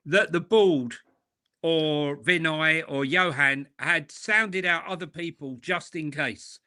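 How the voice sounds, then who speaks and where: Bright-voiced man giving football opinions. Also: high-pitched. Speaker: co-host of a football fan show. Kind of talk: casual opinionated livestream chat.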